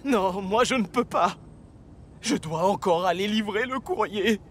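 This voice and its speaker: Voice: medium pitch, measured pace, background noise, lightly acted. A voice actor playing a timid young male steam engine who stammers when frightened, in a cartoon dub. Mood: tired. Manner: stammering, jumpy, fearful.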